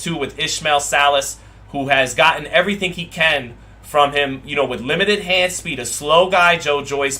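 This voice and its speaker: A man's voice: on the high side, bright, forceful tone. A man hosting a live boxing watch party, reading viewer comments aloud and rambling, with frequent repeats and restarts.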